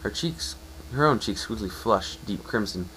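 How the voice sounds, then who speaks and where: A man reading a story aloud in the second person as a dramatic narration, a little haltingly. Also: fairly low pitch, lively intonation, sharp tone, slight background noise, rough recording.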